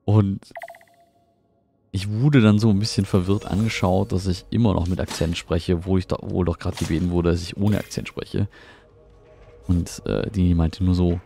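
A middle-aged man streams a game from home, with a very deep, gravelly voice. He rambles, talks to his chat and sounds easily puzzled by what happens on screen. Soft game music plays underneath. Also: fairly even intonation, moderate pace. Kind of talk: casual live gameplay commentary. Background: faint game music.

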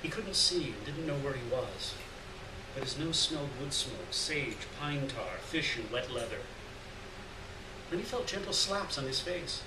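A middle-aged man giving a dramatic, deliberate live reading of a literary story from a lectern. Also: mid-range voice, animated. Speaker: reader of short fiction. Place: indoors at a lectern in a gallery room. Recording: roomy, distant, noisy live room recording.

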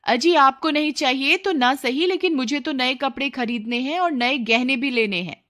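A woman's voice: high-pitched, normal speed, fairly bright tone, voice pushed up. Woman acting a nagging, greedy village wife. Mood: nagging, complaining. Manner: complaining, taunting, insistent. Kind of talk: children's cartoon story acting.

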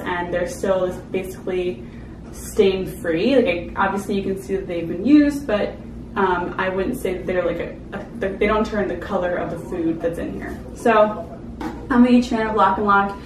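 Young woman, informal vlog review, chatty, distant mic, clear background noise. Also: mid-range voice, echoey room. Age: young adult. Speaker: food vlogger reviewing products. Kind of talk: casual product review to camera. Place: small indoor room, seated at a table.

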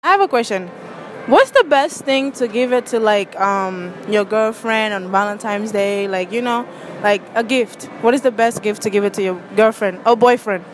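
A woman's voice: medium pitch, normal speed. A young woman doing a street prank interview, chatting with strangers and filling her questions with "like" and "um". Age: young adult. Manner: chatty, lots of "like" and "um" fillers. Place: outdoors and in a shopping mall, by storefronts and a parking lot. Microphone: handheld phone held out to people. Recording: noisy street and mall background.

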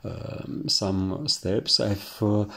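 Slow, halting Greek-accented man. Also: on the low side, level tone, creaky, fairly bright tone. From Greece. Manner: halting, searching for words, many fillers. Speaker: painting teacher. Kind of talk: instructional voice-over while painting.

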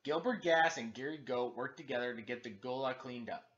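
A man in his thirties reading a children's storybook aloud as a teacher, with exaggerated, expressive emphasis, recorded indoors at home. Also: mid-range voice, a little fast, muffled recording. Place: living room, indoors.

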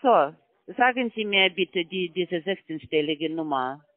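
A man on a call-center line, his voice high and his delivery slow, careful and halting. He speaks German with non-native grammar and repeats everything back. The sound is tinny and dry, squeezed through a phone. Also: expressive, no room sound.

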